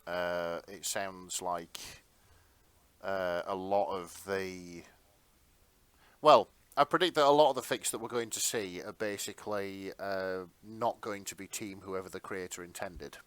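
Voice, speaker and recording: A man's voice: low-pitched, steady tone, measured pace, a bit bright, clear, resonant tone. A man chatting casually as a podcast co-host in a rambling, self-deprecating way. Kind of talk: casual chat.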